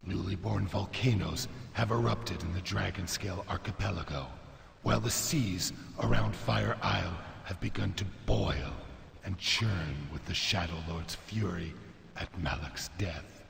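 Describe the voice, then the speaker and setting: An actor playing a grave, solemn storyteller in a fantasy game cutscene, speaking in a portentous, measured, gravelly way with a reverberant echo. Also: male voice, low voice, fairly bright tone, full character voice. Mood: grave, urgent. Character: grave male fantasy narrator, solemn war-council storyteller. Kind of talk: dramatic scripted game cutscene narration. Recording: reverberant hall echo, faint noise.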